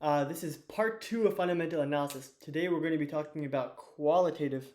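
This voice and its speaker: Hoarse teenage boy teaching at a whiteboard. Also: fairly high pitch, quick pace, darkish tone. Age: teens. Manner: loose and chatty with many fillers and restarts. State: hoarse, losing his voice. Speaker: student teacher of an investing course. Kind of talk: whiteboard lesson.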